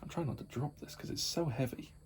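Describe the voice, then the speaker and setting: A slightly croaky man chatting casually as he shows off and tests a model locomotive, repeating his praise and filling pauses with ums. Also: on the high side, darkish tone, a little hiss or hum. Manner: chatty, repeats praise words, many fillers. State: croaky from hay fever and little sleep. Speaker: model railway hobbyist reviewer. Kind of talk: casual unboxing and test-run commentary.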